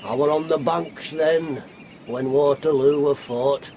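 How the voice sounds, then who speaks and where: An actor playing a garrulous, cynical sailor of nearly a hundred, with a Yorkshire accent and folksy rambling asides, in a period radio drama. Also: male voice, on the high side, steady tone, a little slow, warm tone, slight background noise. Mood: reminiscing. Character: ancient garrulous old sailor, blunt and cynical.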